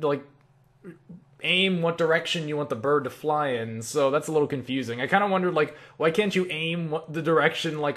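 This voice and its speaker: Young adult male, slightly high voice, casual Q&A rambler, opinionated and sweary, thinks aloud. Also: fairly bright tone. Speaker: online video creator answering viewer questions. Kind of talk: casual rambling Q&A chat about video games.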